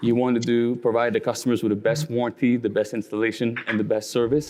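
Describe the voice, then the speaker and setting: A man's voice: mid-range voice, level tone, rapid-fire, darkish tone. A man giving a passionate motivational talk on a video call, asking his listeners rhetorical questions. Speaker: guest speaker, roofing company leader.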